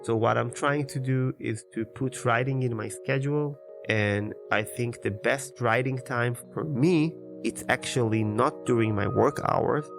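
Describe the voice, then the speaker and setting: Man, native Hebrew speaker, 30s, relaxed conversational monologue, mid pitch, clear background music. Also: unhurried, few pauses. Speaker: podcast host. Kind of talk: casual personal monologue.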